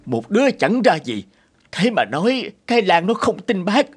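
An agitated, high-pitched middle-aged father. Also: big pitch swings, moderate pace, husky, heavily acted. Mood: exasperated. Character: worried, hot-tempered middle-aged father of a runaway daughter. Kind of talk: animated drama acting.

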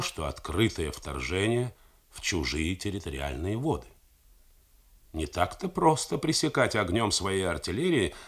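A man's voice: deep voice, fairly bright tone, voice pushed down. A man voicing a naval officer who reasons soberly about a risky order, in a radio reading of a novel. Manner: reasoning, measured.

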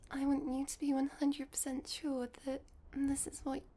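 Very high, whispery vampire girlfriend, acted by a woman. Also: completely flat delivery, normal speed, a little hiss or hum. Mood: hesitant, caring. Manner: soft, breathy whispering, hesitant and giggly. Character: sweet, shy, loving young female vampire girlfriend. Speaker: roleplay voice performer. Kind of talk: intimate ASMR girlfriend roleplay.

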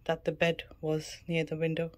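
A woman's voice: deep voice, completely flat delivery, normal speed. A woman travel vlogger giving a casual, matter-of-fact tour narration of a small cabin. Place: mostly a small cruise ship cabin. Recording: clear background ambient noise.

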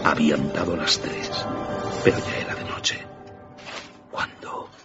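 Narrator for radio drama: male, low-pitched voice, dramatic storytelling delivery, music behind, slightly dull recording. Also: level tone, measured pace. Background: clear background music.

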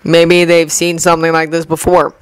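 A woman's voice: low-pitched, completely flat delivery, normal speed, clean tone, dry recording, natural voice. Lady doing a blunt shrugging teen transfer kid, offhand, pretty much her own voice.

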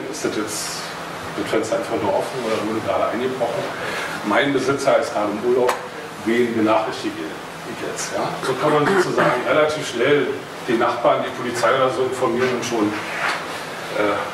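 A man in his thirties with a Berlin accent gives a loose, chatty hobby presentation, standing and moving about in a meeting room. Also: medium pitch. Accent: Berlin German. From Berlin, Germany. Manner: loose and chatty, with filler words and small jokes. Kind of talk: casual technical presentation. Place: meeting room with projector screen, indoors. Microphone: far camera across the room. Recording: very echoey room, far from the mic, noisy.

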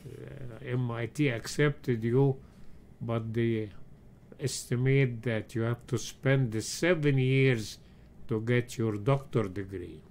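A man in his seventies, recorded at home for an oral history. He speaks at a very slow pace and fills his sentences with hesitations, at an ordinary middle pitch. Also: gravelly, darkish tone, slight background noise. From Egypt. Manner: slow, halting storytelling with many pauses and fillers. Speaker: interviewee, retired engineering professor.

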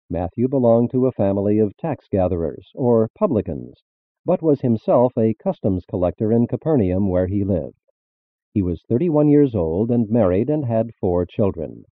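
A man reading a scripted religious narration in a formal, measured manner. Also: on the low side, no room sound. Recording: muffled phone-like band-limited audio.

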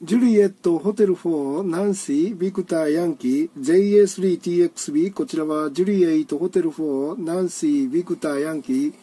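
A man with a high yet soft-toned voice operates a ham radio, spelling out call signs. The room recording carries faint radio noise. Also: quick pace, hardly any pauses.

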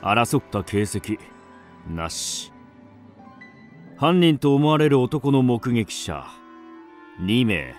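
Stern police detective, radio drama, slow clipped delivery, bit of music under. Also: male voice, mid-range voice, sing-song. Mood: stern, clinical. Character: businesslike male police detective, terse and authoritative.

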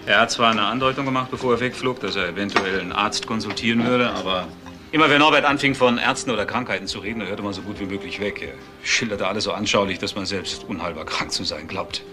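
An actor playing a composed, articulate businessman in a German crime radio drama, speaking in a measured way. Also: lowish voice, music in the background, lightly acted. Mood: sober, reflective.

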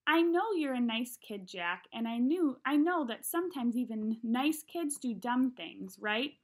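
A woman's voice: on the high side, expressive, measured pace. Woman reading as a stern, kindly male principal. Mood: patient, kindly.

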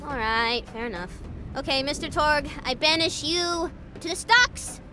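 An actress playing an excitable, bossy teenage girl who runs a fantasy game with theatrical, slangy flair. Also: high-pitched, a little slow. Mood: commanding. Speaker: game master. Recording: voice over loud game music.

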